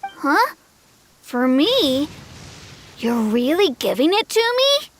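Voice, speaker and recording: A woman voicing an earnest, proud little village boy with a high boyish voice in a video game. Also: big pitch swings, slow, slight background noise, full character voice. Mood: surprised, wistful. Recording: game music behind.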